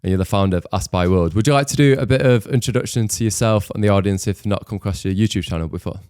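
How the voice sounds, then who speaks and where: A man's voice: on the low side, very fast, darkish tone, clear, resonant tone, dry recording, clean studio sound. A man interviewing casually as a podcast host, with informal, rambling questions.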